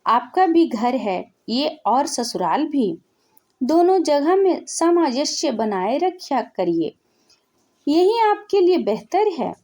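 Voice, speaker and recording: A woman's voice: high voice, big pitch swings, slow pace, warm tone, exaggerated voice. A woman storyteller voicing an outspoken modern young daughter-in-law who politely but firmly chides and defends herself. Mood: firm, reproachful. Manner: assertive, politely chiding. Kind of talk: Hindi family storytelling.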